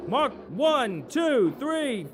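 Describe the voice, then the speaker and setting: A man's voice: very high-pitched, sing-song, very slow, soft background music, full character voice. An actor playing a barking crewman-soldier aboard a warship in an audio drama. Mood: alert. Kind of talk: full-cast audio drama acting.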